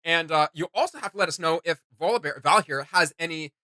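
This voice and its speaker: A man's voice: fairly high pitch, brisk, bright, forceful tone. A man giving casual, enthusiastic reaction commentary as a co-host, slangy and exclamatory, with music behind him.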